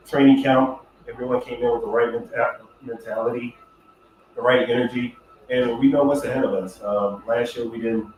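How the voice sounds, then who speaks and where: A man's voice: mid-range voice, a little mellow, slight background noise. A man, a football player, answering press questions in a reflective, motivational way. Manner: reflective, uses motivational metaphors. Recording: echoey room, far from mic.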